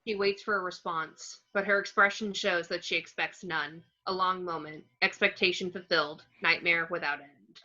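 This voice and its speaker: A woman's voice: lowish voice, steady tone, normal speed, few pauses, bright, forceful tone. A woman narrating stage directions from a screenplay in short clipped phrases, on a lo-fi recording. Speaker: narrator reading action descriptions.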